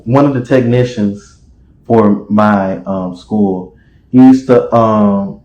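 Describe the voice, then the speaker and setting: A man talking as an interview guest in a casual chat, dramatic and expressive, rambling with fillers and restarts. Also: lowish voice, takes it slowly, muffled recording, a little hiss or hum. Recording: roomy echo.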